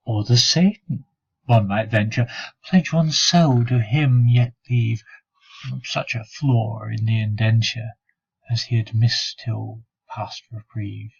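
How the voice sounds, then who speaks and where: A man reciting a dramatic poem as a jealous, sneering monk who seethes with hatred for a fellow brother, in a husky voice. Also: lowish voice, fairly even intonation, takes it slowly, exaggerated voice. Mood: scheming, gloating. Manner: sneering, sarcastic, growling asides. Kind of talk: dramatic poetry recitation, 19th century monologue. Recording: dull lo-fi recording.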